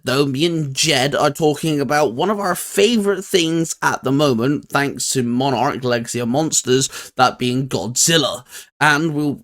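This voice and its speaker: A man's voice: mid-range voice, fairly bright tone. A man hosting a casual video discussion, repeating and stressing phrases for emphasis. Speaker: host. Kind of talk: casual video chat and intro.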